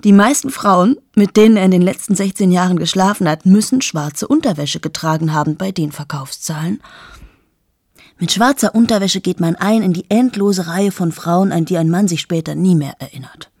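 An actress playing a cool, self-absorbed journalist in her late thirties in an intimate radio play monologue, speaking in a hushed, deliberate way that often drops towards a whisper. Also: on the low side, dry recording, studio quality. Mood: wry, confiding. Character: 38-year-old female journalist, cool, amoral, confessional and self-absorbed.

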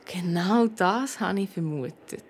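An actress with a Swiss German accent playing a clever, cheeky amateur detective who narrates a children's radio play. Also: female voice, fairly low pitch, a little slow, breathy edge. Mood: probing. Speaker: lead detective and narrator in a children's audio drama.

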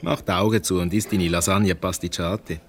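A sensible young Swiss German boyfriend, gently teasing and matter-of-fact. His low-pitched voice is that of a radio comedy character who refuses to get worked up. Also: fairly even intonation, fairly quick. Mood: placating, teasing. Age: young adult. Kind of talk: light radio comedy play acting.

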